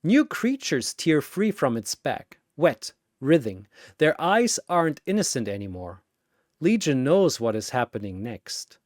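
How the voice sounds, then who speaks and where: A man tells an action story theatrically, in short suspenseful phrases. His delivery is slow with lively swings in pitch, and the recording is dry and close. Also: no echo. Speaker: storyteller, narrator. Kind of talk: dramatic scripted action story narration.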